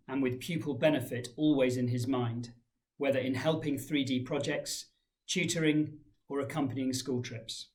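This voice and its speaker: A man in his forties, a head teacher giving a formal school assembly address, measured and reading from a folder in a small room. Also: medium pitch. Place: small indoor room with framed pictures.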